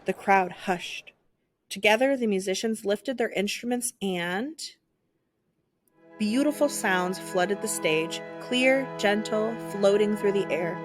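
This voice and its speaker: A woman narrating a children's picture book aloud in an expressive, theatrical storytelling style. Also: mid-range voice, slow, music in the background.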